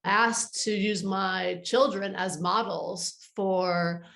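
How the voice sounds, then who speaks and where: A woman's voice: medium pitch, steady tone, slow pace, gravelly, a bit bright, echoey room. A middle-aged woman speaking as an interview guest in a casual, conversational style. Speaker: interview guest, artist advisor.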